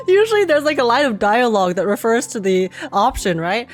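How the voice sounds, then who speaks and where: A woman's voice: on the high side, animated, moderate pace, faint music behind. A woman gaming streamer giving casual reaction commentary, slangy and thinking aloud.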